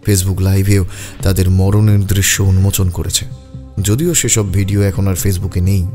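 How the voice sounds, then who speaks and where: A man's voice: low voice, fairly even intonation, measured pace, darkish tone. A man reading scripted narration for a detective audio story. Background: music.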